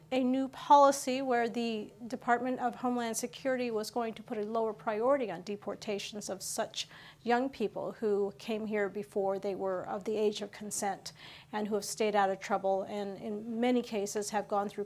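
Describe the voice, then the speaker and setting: A woman hosting a local news program, talking through the stories conversationally with frequent pauses and fillers. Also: medium pitch.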